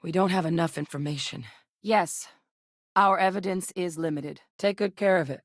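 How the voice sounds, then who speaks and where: An actress playing a serious, driven military scientist in a sci-fi video game, speaking in a measured, earnest, dryly wry manner. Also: female voice, lowish voice, no echo, clean studio sound, lightly acted. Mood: measured, determined. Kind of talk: acted sci-fi game dialogue.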